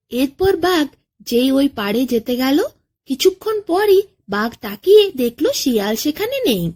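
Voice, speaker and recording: A woman narrating a children's bedtime folk tale as a storyteller, in an expressive, dramatic way. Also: high-pitched.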